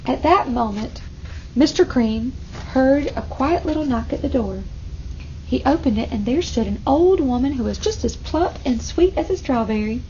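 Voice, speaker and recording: High-voiced woman reading to children. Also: expressive, normal speed, mellow. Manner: engaging, asks listeners questions. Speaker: storybook reader for children. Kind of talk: expressive read-aloud with asides to young listeners. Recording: muffled, clearly noisy room recording.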